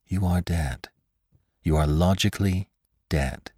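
Male narrator doing a hushed calm attendant, deep and slow, audiobook. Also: slightly rough, no room sound, clean studio sound, heavily acted. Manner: quiet, hushed. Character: calm, soothing man attending the dying.

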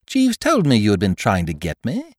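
A man reading aloud, voicing a cheerful young gentleman about town who speaks in a breezy, jaunty way. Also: fairly low pitch, wildly swooping pitch, normal speed, dry recording, studio quality, pitched below the performer's own voice.

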